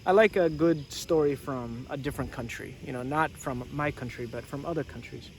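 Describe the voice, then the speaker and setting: A man's voice: mid-range voice, moderate pace, background noise. A man reading a storybook aloud as a teacher, casually chatting and prompting listeners with questions.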